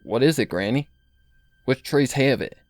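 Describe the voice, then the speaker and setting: A man's voice: medium pitch, expressive, measured pace, a little mellow, no room sound, faint music behind, lightly acted. A man voicing a practical young female farm pony in a story reading, with a rural Southern drawl. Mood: concerned, practical. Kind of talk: fan fiction character reading.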